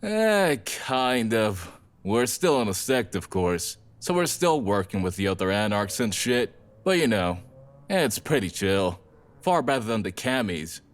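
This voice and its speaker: A man's voice: on the low side, very animated, a little slow. A man playing a laid-back, blunt rebel vampire in an audio drama, talking in casual slang. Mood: breezy.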